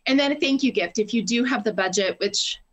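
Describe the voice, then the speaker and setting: A woman's voice: on the high side, a little fast. A woman interview guest who works in public relations, giving practical explanations in an articulate, organized way with lists of examples.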